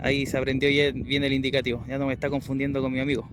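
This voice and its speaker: A man's voice: mid-range voice, level tone, very fast, background noise. A man with a Chilean Spanish accent talking casually as an amateur radio operator doing a field test outdoors. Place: outdoors on a hilltop.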